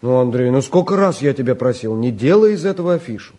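Man acting a mellow, suave privileged young man. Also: mid-range voice, animated, quick pace, natural voice. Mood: smooth, self-important. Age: young adult. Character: suave, self-important young son of a famous academician. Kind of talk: 1950s radio play acting.

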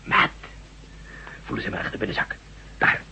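A man's voice: normal speed, sharp tone. A man acting in a radio drama as an urgent, pleading resistance leader, intense in manner. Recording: slightly noisy old radio recording.

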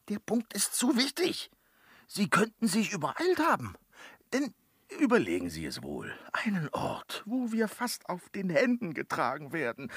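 A drunken, cheeky manservant in a period radio comedy. His voice is bright and creaky, swooping all over in a dry close sound, wordy and merrily insolent. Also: fairly high pitch, no echo, full character voice. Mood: cheeky protesting. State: drunk, giggly. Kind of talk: German stage comedy radio acting.

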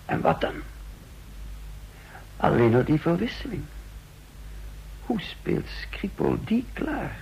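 An actor playing a secretive, calculating conspirator in a radio drama, formal, deliberate and hesitant in his speech. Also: fairly high pitch, sing-song, gravelly, darkish tone, slight background noise, heavily acted, poor audio. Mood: brooding, scheming. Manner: deliberate, hesitant, formal, murmuring to himself at times. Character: secretive, calculating, terminally ill male conspirator with courteous authority. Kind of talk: Dutch radio drama acting.

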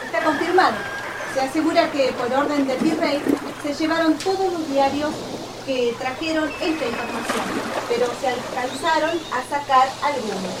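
An actress playing a polite, eager radio reporter with an Argentine accent, formally announcing a live news report in a radio drama. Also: female voice, high-pitched, moderate pace, close to an everyday voice. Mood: earnest, informative. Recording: distant, noisy outdoor-style radio recording.

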